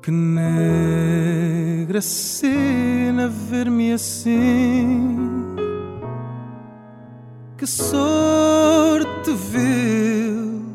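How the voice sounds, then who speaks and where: A woman sings fado, stretching each phrase out very slowly. Her voice sits high. Loud plucked guitar accompanies her throughout. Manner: slow, drawn-out phrasing. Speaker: fado singer.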